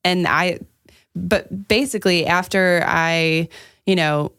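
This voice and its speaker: Woman podcast co-host, smooth crisp tone, casual and jokey delivery, dry close sound. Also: on the low side, steady tone, slow, a bit bright, no room sound. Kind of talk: casual chat.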